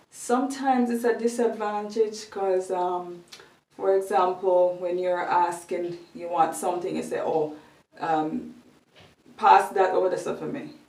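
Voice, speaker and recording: A woman with a Jamaican accent chatting slowly in a home vlog, thinking aloud with many ums. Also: fairly low pitch, a little mellow. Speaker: vlogger. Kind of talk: casual vlog chat. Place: room at home. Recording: echoey room, voice a bit far from the mic.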